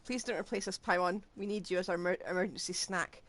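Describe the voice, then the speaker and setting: A woman's voice: fairly low pitch, fairly even intonation, quick pace, fairly bright tone, a little hiss or hum. A woman giving casual, wryly joking commentary as she streams a game.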